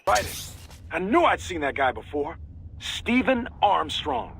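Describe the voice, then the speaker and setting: A man playing a sharp, informal intelligence adviser giving a briefing in a video game. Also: sits high, big pitch swings, slow pace, vocal fry, a little hiss or hum, heavily acted. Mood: informative, intrigued.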